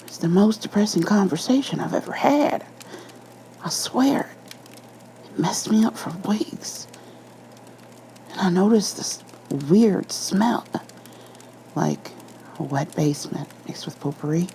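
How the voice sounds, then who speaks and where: A rattled police officer, acted by a woman, confides in a breathy, stammering voice lifted well above the narration. It is a nervous creepypasta character read, with faint noise behind. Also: fairly low pitch, lively intonation, takes it slowly, pauses often, dark tone, pitched above the performer's own voice, low-quality recording. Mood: unsettled, confiding. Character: shaken, nervous police officer confessing an eerie encounter. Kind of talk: ASMR horror story character reading.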